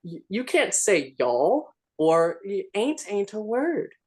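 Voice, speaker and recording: A man holding forth in a church discussion group in an animated, lecturing way, often checking in with "right?". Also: high-pitched, slow.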